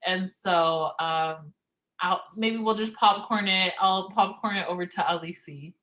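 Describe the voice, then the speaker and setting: Book club host, woman, bright voice, informal, on a video call. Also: fairly low pitch, steady tone, unhurried. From United States. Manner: friendly, informal, hands the turn around. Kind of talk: casual video call chat.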